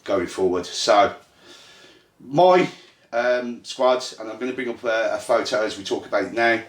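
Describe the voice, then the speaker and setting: Chatty Brummie man explaining game rules. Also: lowish voice. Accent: Birmingham. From Ireland. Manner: chatty, halting with fillers, self-deprecating. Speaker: host and game runner. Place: indoor room at a gaming table. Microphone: phone. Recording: echoey room on phone mic.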